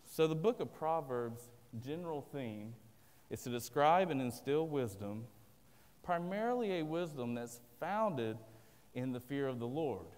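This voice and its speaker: A man's voice: mid-range voice, expressive, measured pace, a little mellow. Southern man preaching in a reverberant church. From American South. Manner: earnest, plain-spoken, self-deprecating. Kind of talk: sermon. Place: large church sanctuary indoors. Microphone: at a pulpit, filmed by a far camera. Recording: long church-hall reverb.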